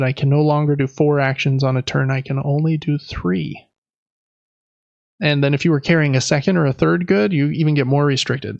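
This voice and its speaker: A man's voice: on the high side, creaky, darkish tone, dry recording, lo-fi sound. A man hosting a podcast, chatting casually and explaining games with enthusiasm. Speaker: podcast host. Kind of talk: casual board game review chat.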